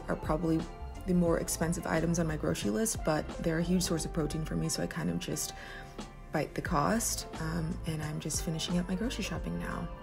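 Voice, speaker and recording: Young woman vlogging on her couch, kinda low and gravelly, chatty and upbeat, music going underneath. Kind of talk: casual vlog talk to camera and voice-over. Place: living room, seated on a sofa.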